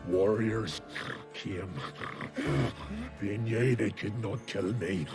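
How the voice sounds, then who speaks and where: An actor playing a savage, tormented cursed werewolf in a video game, growling in halting, broken phrases with groans. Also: male voice, fairly low pitch, steady tone, music in the background. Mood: menacing, pained. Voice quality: gravelly, growling. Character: cursed male werewolf, savage, boastful, tormented by endless hunger. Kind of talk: dramatic video game character acting.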